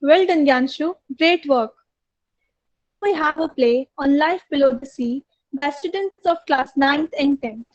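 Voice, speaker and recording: A woman teacher hosts an online school event, encouraging the students and introducing each act. Her voice sits high and sounds close and dry. The video call gives the audio a dull, lo-fi quality. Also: unhurried, no room sound. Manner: encouraging, addresses students fondly.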